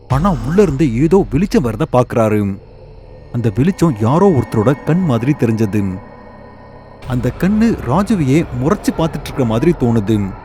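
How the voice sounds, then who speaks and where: A man narrating a scripted cartoon horror story with dramatic, measured delivery. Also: medium pitch, dark tone.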